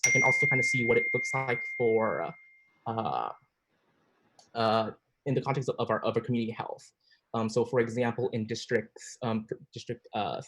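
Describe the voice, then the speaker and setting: Young man, husky, average pitch, earnest, video call. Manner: earnest, many restarts and fillers. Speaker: planning commission applicant. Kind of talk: formal interview answers. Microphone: video call mic.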